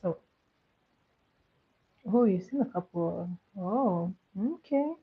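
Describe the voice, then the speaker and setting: A woman's voice: medium pitch, expressive, slow pace, slightly rough. A woman from eastern Nigeria with a Nigerian accent, whose first language is Igbo, chatting casually and animatedly with live viewers, with lots of filler words and laughter. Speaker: livestream host. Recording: thin phone-like audio.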